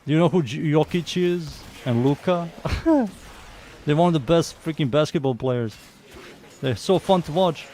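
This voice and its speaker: Easygoing Serbian-accented game streamer. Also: male voice, a little high, normal speed, dark tone, slight background noise. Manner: mutters to himself, reads chat aloud, easygoing. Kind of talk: casual live-stream chatter while playing.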